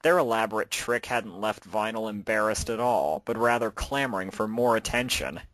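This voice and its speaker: Guy narrating a story, really low voice, dry close sound. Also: moderate pace, a bit bright, no room sound. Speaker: narrator and fan fiction author.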